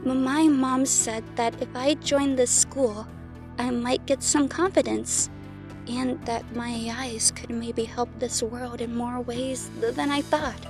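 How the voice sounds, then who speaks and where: A shy, lonely young girl, played by a woman, speaks slowly in a high voice. She stammers and hesitates in a sad, timid way, as in an audio drama, with music clearly behind her. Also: fairly even intonation.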